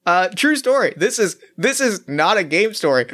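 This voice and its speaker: A man, a tabletop game player, chatting casually out of character in an animated way. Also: sits high, moderate pace. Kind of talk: casual chat.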